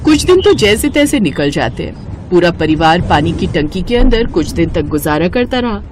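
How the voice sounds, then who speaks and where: A woman's voice: on the low side, big pitch swings, moderate pace, noisy background. A woman reading a scripted story as its narrator.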